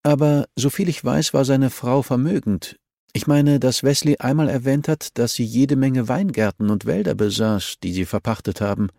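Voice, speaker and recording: Man doing a gracious, wry society wife, pitched up. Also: normal speed, creaky, mellow, dry recording, clean studio sound, close to an everyday voice. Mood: wry, helpful. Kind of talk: audiobook character dialogue.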